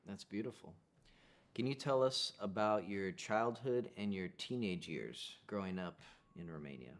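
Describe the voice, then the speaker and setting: A young adult man hosts a podcast interview. His voice is low-pitched, and he asks open, curious questions and follows up with his own thoughts. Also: expressive, unhurried. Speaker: podcast host.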